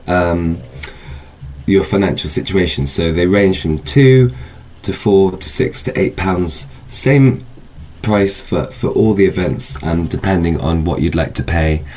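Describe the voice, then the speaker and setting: A man with a very deep voice talks as an interview guest about an event. His phrasing is thoughtful and hesitant, and faint noise sits behind him. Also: a little mellow. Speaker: film festival spokesperson, guest. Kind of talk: informal interview, explaining an event.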